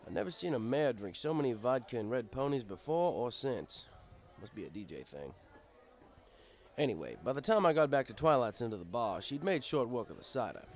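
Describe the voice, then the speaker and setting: Lively male storybook narrator, wry. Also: medium pitch. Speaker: story reader. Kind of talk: first-person scripted narration, fan fiction audiobook.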